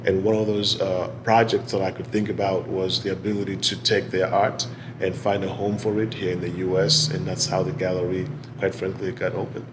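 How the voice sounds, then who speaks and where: A man in his forties with a Zimbabwean accent, giving measured, articulate answers in an interview. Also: sits low, flat delivery, noisy background. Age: 40s. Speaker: interviewee, business executive and gallery owner. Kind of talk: oral history interview answers.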